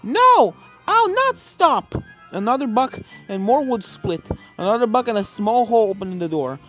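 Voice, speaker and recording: A woman's voice: mid-range voice, expressive, brisk, darkish tone. A woman narrating a scripted story expressively. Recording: music behind the voice.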